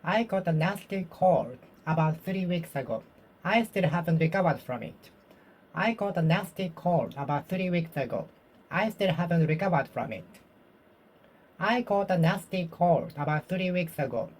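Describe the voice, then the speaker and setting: A Japanese-speaking learner works through English drills alone, saying each sentence slowly and carefully, again and again. The voice sits at an ordinary pitch and is still getting over a cold. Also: lively intonation, a little mellow. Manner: slow, careful repetition of the same sentences. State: recovering from a cold. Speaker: vlogger practicing English speaking. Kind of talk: self-study speaking practice, repeating phrases aloud.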